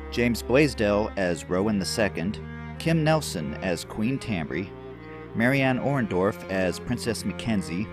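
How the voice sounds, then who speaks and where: A man reading a scripted announcement as an audio program's announcer. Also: low-pitched. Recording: music bed behind voice.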